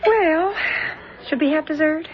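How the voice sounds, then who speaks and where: A woman's voice: sits high, measured pace, a little hiss or hum. An actress playing a shrewd, long-suffering policeman's wife, knowing and drily teasing, in 1970s radio drama style. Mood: curious, observant. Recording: dull lo-fi radio drama recording.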